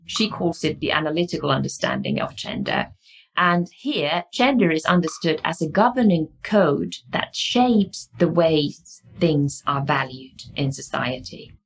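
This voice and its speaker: A woman's voice: low-pitched, lively intonation, measured pace, vocal fry, husky. A woman with a Finnish accent, whose first language is Finnish, lecturing in a thoughtful way that often halts with fillers and restarts. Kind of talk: explaining lecture.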